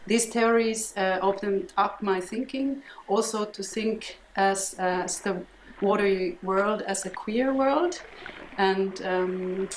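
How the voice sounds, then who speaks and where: A visual artist woman from northern Finland, talking thoughtfully in an interview, pausing often with fillers. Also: mid-range voice, echoey room, a little hiss or hum, poor audio. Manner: thoughtful, hesitant with many fillers. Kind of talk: documentary interview.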